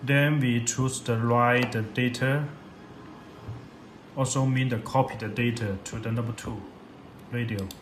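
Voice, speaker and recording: A man demonstrating a product in a step-by-step tutorial, speaking halting, repetitive non-native English. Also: mid-range voice, steady tone. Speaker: product demonstrator for a radio seller. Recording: echoey room, loud background noise.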